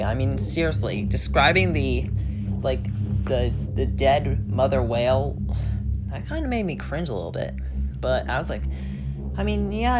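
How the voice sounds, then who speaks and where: Low-voiced woman reading horror stories over music. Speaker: host and reader of a horror story series. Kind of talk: creepypasta narration and casual commentary.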